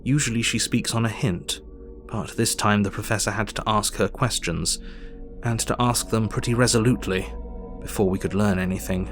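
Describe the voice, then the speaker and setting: Man acting a low-voiced, sober Victorian doctor. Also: soft background music, close to an everyday voice. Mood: sober, tense. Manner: measured, formal. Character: earnest, sober Victorian doctor reading his diary. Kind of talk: dramatic diary narration.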